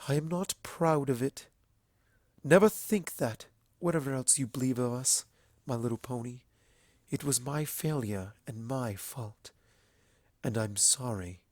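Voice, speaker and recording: A gentle royal mare, acted by a man from a fantasy storybook. The delivery is soft and sorrowful, with formal wording. It is recorded dry. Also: medium pitch, measured pace, long pauses, dry recording, close to an everyday voice. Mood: remorseful. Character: gentle, sorrowful sun princess mare, self-blaming.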